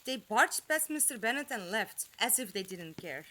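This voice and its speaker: A woman's voice: on the high side, sing-song, normal speed, noisy background. A woman playing a plucky, caring young companion in an audio drama, earnest and outspoken. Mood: relieved, frank.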